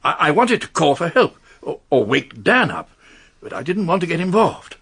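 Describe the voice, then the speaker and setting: Casting: nervous upper-class English knight, radio drama, husky mid-range voice, hesitant, lively intonation. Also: male voice, on the high side, normal speed, full character voice. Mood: shaken, hesitant. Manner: hesitant, stammering, polite. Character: anxious titled English gentleman confessing under questioning. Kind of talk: British radio detective drama acting.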